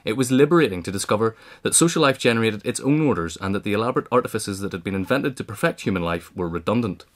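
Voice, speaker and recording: A man's voice: lowish voice, lively intonation, fast talker, few pauses. A man narrating a scripted political history essay in a formal, articulate read-aloud manner. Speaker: audiobook reader.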